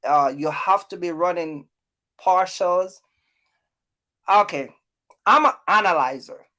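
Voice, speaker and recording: A man's voice: sits high, slow, pushed and bright. Man hosting a casual livestream, repeating himself. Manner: repeats himself, informal street slang. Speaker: live stream host.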